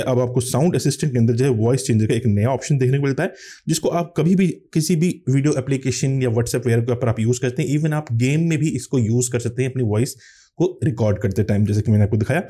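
A man's voice: medium pitch, warm tone. A man hosting a tech channel, explaining phone features step by step in tutorial commentary.

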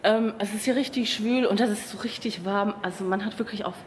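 A woman's voice: medium pitch, brisk. Woman in her thirties, casual travel vlog, handheld camera at arm's length, rambling, worn out by the heat, faint background hum. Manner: chatty, rambling, frequent "genau" and "ne?" tag questions. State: tired and sweaty from humid heat. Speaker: travel vlogger. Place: hotel lobby and street outdoors in the tropics.

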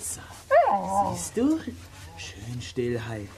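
Cheeky boy with lively intonation. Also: medium pitch, slow, very noisy. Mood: playful. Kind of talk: German children's radio play acting.

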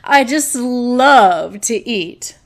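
Puppet crocodile played by a woman: courteous, sly, hungry, very slow and swooping. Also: fairly high pitch, lively intonation, full character voice. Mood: sly, relishing. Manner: slow, relishing, drawn-out. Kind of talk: children's puppet show.

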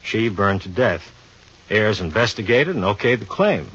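An actor playing an easygoing, teasing police inspector in a 1950s radio drama, speaking in casual slang. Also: male voice, on the low side, animated, moderate pace, a bit bright, husky. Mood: explaining, easygoing. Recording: muffled 1950s radio recording.

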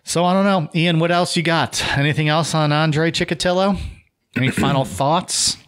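A young man hosting a casual podcast, joking with his co-hosts and reading out listener shout-outs. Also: on the high side, normal speed, clear, resonant tone. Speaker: podcast host.

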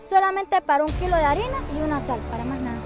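A woman's voice: high-pitched, expressive, quick pace, music in the background. A Venezuelan woman in her twenties, a mother, speaking earnestly to a reporter outdoors. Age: 20s. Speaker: interviewee, migrant mother. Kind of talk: street interview. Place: outdoors among bushes.

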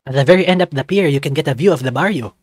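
A man voicing an affectionate, excitable young male otter in a visual novel. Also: a little high, rapid-fire, breathy edge, no room sound. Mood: excited.

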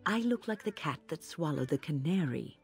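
Game companion, deep breathy voice, teasing and sly, light music behind. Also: female voice, animated, moderate pace, exaggerated voice. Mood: sly amusement. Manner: teasing, knowing. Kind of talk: video game banter.

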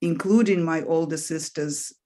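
A woman from Russia, speaking English as a second language with a Russian accent, talking as an author guest in a relaxed interview and often pausing with "um". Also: deep voice. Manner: thoughtful, pauses with frequent "um". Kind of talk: casual interview conversation.

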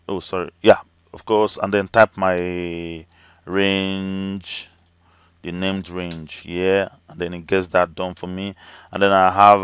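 Man teaching spreadsheets, slightly hoarse. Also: sits low, fairly bright tone, no echo. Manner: chatty, many fillers and "okay" checks. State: voice slightly cracking, losing his voice. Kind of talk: screen-recorded software tutorial.

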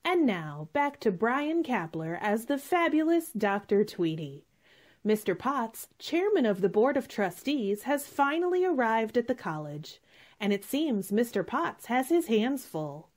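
A woman's voice: on the high side, very animated, a little slow. A woman playing a radio show announcer, reading scripted narration. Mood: announcing.

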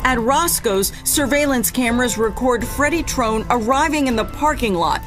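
A woman's voice: fairly high pitch, lively intonation, normal speed. Woman narrating a news report over music.

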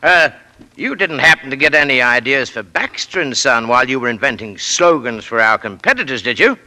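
A bright, blustery company boss. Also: male voice, mid-range voice, lively intonation, fairly quick, poor audio. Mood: bluff, jovial. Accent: American. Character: blustery, self-satisfied coffee company boss, genial to staff. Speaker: radio drama actor. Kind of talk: 1940s radio acting. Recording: vintage 1940s radio broadcast.

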